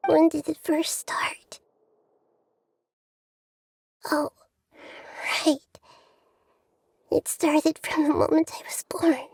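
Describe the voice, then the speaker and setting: High, soft, childlike young goddess. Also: female voice, flat delivery, slow, gravelly, full character voice. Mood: solemn, wistful. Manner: soft, hesitant, contemplative, often near whispering. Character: gentle, childlike young goddess of wisdom, lonely and wistful. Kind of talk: video game cutscene acting.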